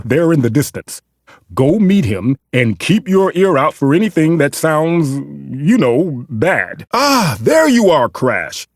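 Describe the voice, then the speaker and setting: A man's voice: mid-range voice, husky, no echo, studio quality. A man voicing a fussy, theatrical mystical guide in a cartoon video game, formal and earnest in delivery.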